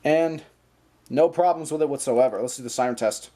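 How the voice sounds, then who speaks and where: A man's voice: mid-range voice, moderate pace, very noisy. An American man hosting a casual hobby vlog, talking in a chatty, playful, slangy way. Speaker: hobbyist video host. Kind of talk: casual show-and-tell vlog.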